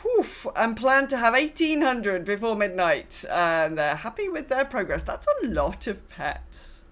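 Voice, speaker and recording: A woman's voice: mid-range voice, sing-song, unhurried, fairly bright tone. A woman co-hosting a podcast, chatting casually and reading out messages in a sympathetic way. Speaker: podcast co-host.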